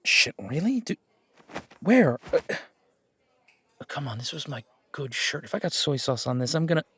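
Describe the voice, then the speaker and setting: A man's voice: on the high side, big pitch swings, a little slow, a bit bright, slightly rough, heavily acted. A man acting a playful, affectionate mafia boss on a date in a romantic audio drama, with a casual, teasing manner. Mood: flustered, wry. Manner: teasing, casual, mock-indignant, swearing.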